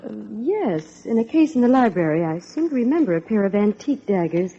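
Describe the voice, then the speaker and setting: Prim guarded secretary from old radio, dark mellow voice, bit husky. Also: female voice, mid-range voice, sing-song, a little fast. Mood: guarded, polite. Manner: formal, guarded. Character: reserved, proper young social secretary. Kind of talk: old-time radio drama acting. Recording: muffled old radio recording.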